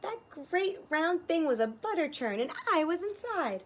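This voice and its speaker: Woman putting on a high-pitched, cheeky little pig. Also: very animated, unhurried, exaggerated voice. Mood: gloating. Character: clever, cheeky little male pig from a fairy tale.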